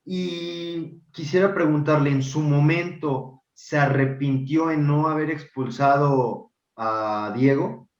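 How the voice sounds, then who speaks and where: Guy interviewing, slow and hesitant, polite, sounds echoey and kinda muffled. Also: on the high side. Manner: halting, polite, formal address. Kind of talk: podcast interview questions. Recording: dull echoey room sound.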